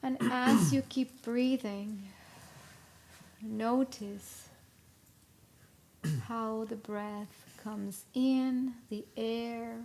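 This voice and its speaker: A woman leading a live guided meditation in a soothing, instructional way, with long pauses between short phrases. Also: a little high, steady tone, a little mellow. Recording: live recording with clear background noise.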